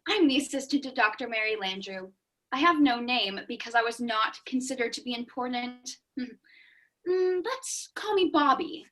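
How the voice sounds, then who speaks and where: A woman's voice: on the high side, lively intonation, normal speed, a bit bright. A young woman playing an earnest, precise scientist's assistant with a grave, ominous delivery in a melodramatic radio play. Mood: dry, correcting. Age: teens to young adult. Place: bedroom, video call.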